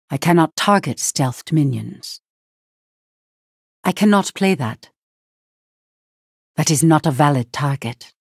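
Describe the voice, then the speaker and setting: A woman voicing a solemn, dutiful elven priestess and spirit warrior in a video game, measured, formal and breathy. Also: on the low side, no echo, clean studio sound, exaggerated voice. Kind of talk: video game hero voice lines.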